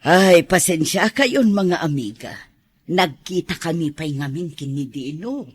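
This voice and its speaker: Expressive, husky middle-aged Ilocano mother played by a woman. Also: fairly low pitch, a little slow, no echo, clean studio sound. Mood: warm, hesitant. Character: widowed mother around fifty, bashful about new romance. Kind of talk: radio drama acting.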